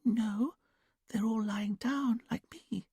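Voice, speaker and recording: A man voicing a young woman in a hypnotic trance, who answers in a quiet, breathy, childlike sing-song. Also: sits high, slow, no echo, clean studio sound, pitched above the performer's own voice, exaggerated voice. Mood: dreamy, entranced.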